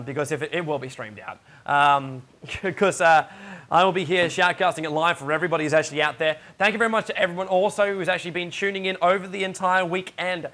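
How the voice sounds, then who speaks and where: A young man with a raspy voice, chatting and joking as an esports shoutcaster from his home studio. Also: a little high. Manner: chatty and joking, with many fillers. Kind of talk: casual solo commentary during a game pause.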